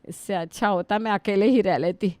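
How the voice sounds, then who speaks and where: A woman's voice: medium pitch, steady tone, moderate pace, a little mellow, no echo, close to an everyday voice. A woman playing a weary elderly mother-in-law. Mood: hurt. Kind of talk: Hindi family drama acting.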